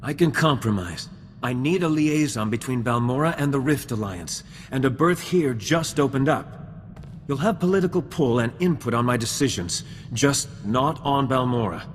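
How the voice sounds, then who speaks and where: A man's voice: medium pitch, animated, normal speed, slight background noise, lightly acted. An actor playing an idealistic male politician in a video game, formal and oratorical, earnest and dignified. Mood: firm. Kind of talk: video game dialogue acting. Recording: long hall reverb, music behind.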